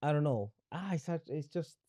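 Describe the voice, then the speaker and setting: A young man from Ecuador, Spanish his first language, thinks aloud as a podcast guest. His voice is warm and mellow, his sentences often restart and circle back, and the sound is close and dry. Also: on the high side, animated, normal speed, no echo. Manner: searching, with frequent restarts and repeated words. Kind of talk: reflective conversational interview.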